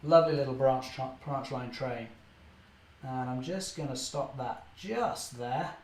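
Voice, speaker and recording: A young man, slightly hoarse, chatting cheerfully through a live model railway session and correcting himself often. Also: medium pitch, measured pace, darkish tone, roomy sound, a little hiss or hum. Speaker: model railway channel host. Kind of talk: live-stream running commentary.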